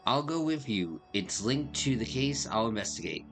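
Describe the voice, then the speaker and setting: A man's voice: mid-range voice, animated, normal speed, over music. A man hosting a game playthrough with casual, dry, laconic asides. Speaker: gaming video host.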